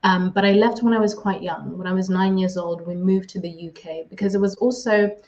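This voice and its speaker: A woman's voice: medium pitch, steady tone, brisk, a little mellow, dull audio. A woman from Zimbabwe whose first language is Shona, talking as a podcast guest in an earnest, reflective way. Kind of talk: podcast interview.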